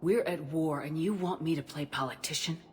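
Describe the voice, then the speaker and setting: Actress as a low, stern military commander. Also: female voice, brisk, slight background noise, close to an everyday voice. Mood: frustrated. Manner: firm, terse, blunt. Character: stern, impatient female military commander, determined and blunt. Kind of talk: dramatic video game acting.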